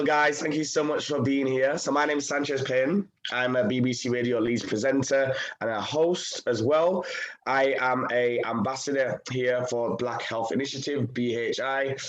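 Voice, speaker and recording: A man's voice: medium pitch, lo-fi sound. A man hosting a panel discussion over a video call, upbeat, friendly and open, with a radio presenter's ease.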